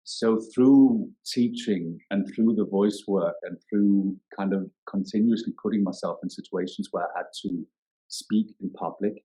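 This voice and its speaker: Deep-voiced man, thoughtful. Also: a little slow, mellow, rough recording. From Denmark. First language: Danish. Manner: thoughtful, searching for words, many restarts. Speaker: yoga teacher and guest. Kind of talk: reflective podcast interview.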